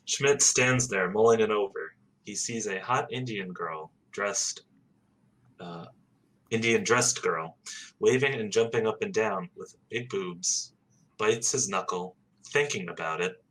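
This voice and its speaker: Man, stage directions, matter-of-fact, lowish voice, bright tone. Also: measured pace, rough recording. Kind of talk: reading stage directions aloud.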